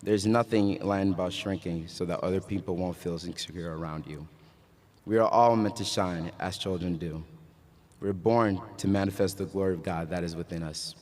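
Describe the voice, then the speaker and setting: A young man from Ghana speaking at his graduation, his voice very low and set in the long reverb of a large hall. He mixes casual jokes with earnest encouragement. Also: fairly even intonation, normal speed, darkish tone. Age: young adult. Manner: casual joking, then earnest and uplifting. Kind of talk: commencement speech.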